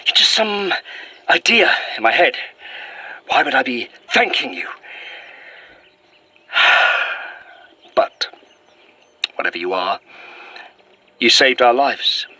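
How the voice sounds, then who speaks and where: An actor playing a troubled, self-deprecating man in a halting, confessional audio-drama recording, sighing and swearing. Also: medium pitch, very animated, pushed and bright, exaggerated voice. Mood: bitter, conflicted.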